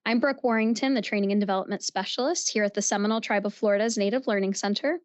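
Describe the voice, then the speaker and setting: A woman hosting a podcast, reading a clear, orderly introduction of her guests. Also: mid-range voice, quick pace, no room sound. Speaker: podcast host.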